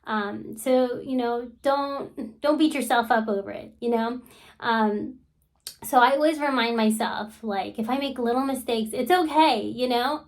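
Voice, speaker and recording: A woman talking to her viewers in a relaxed, chatty vlog style. Her voice sits a little high, and she fills pauses with frequent ums and you-knows. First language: English. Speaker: channel host. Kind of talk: casual talk to camera.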